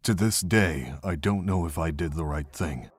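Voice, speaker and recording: A man playing a gentle, regretful middle-aged monk and mentor, speaking in a measured, reflective way in a fan visual novel drama. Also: deep voice, slight background noise, close to an everyday voice.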